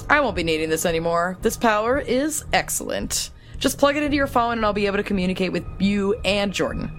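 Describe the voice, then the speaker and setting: A woman's voice: medium pitch, lively intonation, quick pace, fairly bright tone, soft background music, natural voice. An actress playing a brash, tech-savvy young woman who gives wry, slangy briefings in an audio drama. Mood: upbeat. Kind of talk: audio drama acting, conversational exposition.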